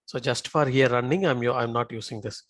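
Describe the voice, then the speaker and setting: A man giving a live software tutorial as an instructor, often checking in with short tag questions. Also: mid-range voice, fairly even intonation, brisk, no echo, clean studio sound.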